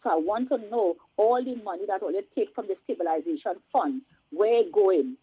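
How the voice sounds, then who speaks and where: A woman's voice: mid-range voice, expressive, fairly quick, husky, dry recording. A Trinidadian woman calling in to a radio show, talking in an emphatic, chatty way with rhetorical asides. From Trinidad and Tobago. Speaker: caller. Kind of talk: casual call-in chat. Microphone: phone. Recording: tinny phone line.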